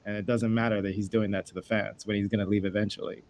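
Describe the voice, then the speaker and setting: A man in a casual group chat, talking quickly and insisting on his point. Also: fairly low pitch, level tone, darkish tone. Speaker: panelist.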